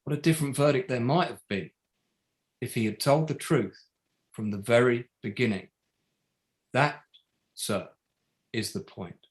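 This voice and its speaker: An actor playing an indignant barrister in a play reading, making formal submissions to an inquiry in a deliberate, emphatic way with pauses between short phrases. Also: male voice, mid-range voice, close to an everyday voice. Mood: grave, accusing. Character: indignant male barrister addressing a public inquiry. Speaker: actor in an online table read.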